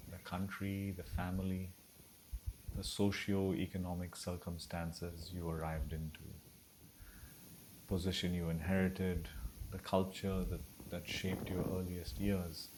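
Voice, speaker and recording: A man leading a guided meditation in a gentle, soothing, unhurried way with long pauses between phrases. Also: deep voice, slight background noise, rough recording.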